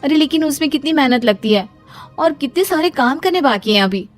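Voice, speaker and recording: High-pitched young daughter-in-law, played by a woman. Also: expressive, a little fast, soft background music. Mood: reluctant. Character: young devout daughter-in-law, dutiful but reluctant worker.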